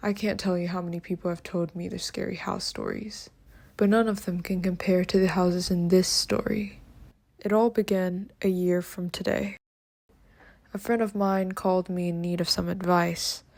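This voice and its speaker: Give this story to a calm, curious writer of the macabre , played by a woman. The delivery is measured and subdued, with very little rise and fall and a slightly low register. It is scripted horror narration for an amateur drama series. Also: gravelly, no room sound. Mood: measured, ominous.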